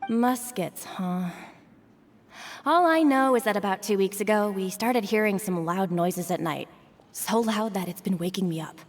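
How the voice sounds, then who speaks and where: A woman's voice: mid-range voice, a little slow, a little hiss or hum, lightly acted. A woman acting a guarded, offhand townswoman. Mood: wary, offhand. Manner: wary, offhand, noncommittal. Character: guarded, street-wise townswoman informant. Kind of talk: video game voice acting. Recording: music playing behind the voice.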